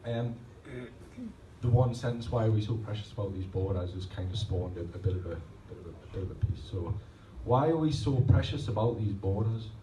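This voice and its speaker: A man's voice: on the low side, brisk, warm tone, lo-fi sound, slight background noise. A man, reading rhymed spoken-word poems and talking loosely between them. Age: 30s. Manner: rhythmic, rhymed delivery between rambling, self-deprecating asides. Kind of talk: poetry reading with chatty asides. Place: pub function room at a poetry night. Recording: roomy, distant live recording, faint ambience.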